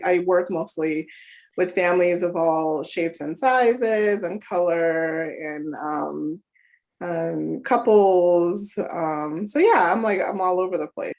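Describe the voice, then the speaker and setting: This is a talkative woman from Ghana with a fairly low voice, a therapist speaking as an interview guest. She rambles in a relaxed way, often tags her thoughts with "right?" and pauses on fillers as she thinks. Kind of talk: casual conversational interview.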